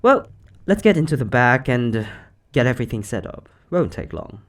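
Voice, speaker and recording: A man playing an eccentric, secretly sinister occult shop owner in a roleplay monologue, chatty and offhand with theatrical, drawn-out words. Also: medium pitch, big pitch swings. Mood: businesslike, playful. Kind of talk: scripted roleplay monologue to the listener.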